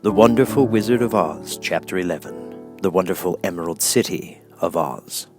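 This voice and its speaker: Low-voiced man narrating a storybook. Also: moderate pace, a little mellow, soft background music. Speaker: audiobook narrator.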